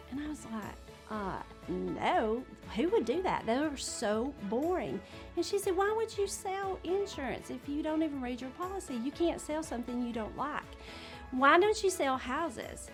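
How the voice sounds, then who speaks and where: Chatty woman in her forties, slightly high. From Georgia, USA. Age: 40s. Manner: chatty, storytelling, candid. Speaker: real estate agent. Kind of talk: casual talk to camera.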